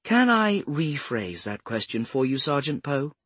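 A man's voice: medium pitch, very animated, unhurried, a bit bright, slightly rough, dry recording, pitched above the performer's own voice, full character voice. A man narrating an audiobook as a prim, self-righteous older woman with a cultured English accent. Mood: arch, composed. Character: cultured, haughty retired care-home matron, a grandmother.

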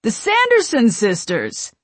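A woman voicing a tipsy, excitable young man out partying in an audiobook, gushing playfully in exclamations. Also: medium pitch, measured pace, no echo. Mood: excited.

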